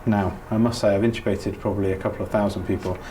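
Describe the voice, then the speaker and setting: A man's voice: lowish voice, steady tone, fast, warm tone, slight background noise. A middle-aged man, a doctor, giving spoken practical instruction in an informal, explanatory manner. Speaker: doctor giving a training demonstration.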